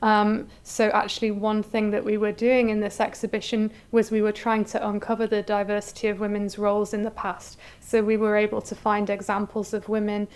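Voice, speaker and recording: A woman curator gives articulate explanations in an interview. Her voice is clean and resonant, at a middling pitch. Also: completely flat delivery, a little fast, hardly any pauses, a little mellow, clean tone.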